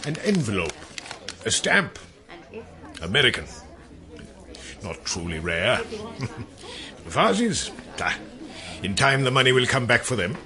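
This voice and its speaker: An actor playing a gloating male stamp collector, speaking in halting, savouring phrases in a radio drama. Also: mid-range voice, big pitch swings, a crowd in the background. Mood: sly satisfaction.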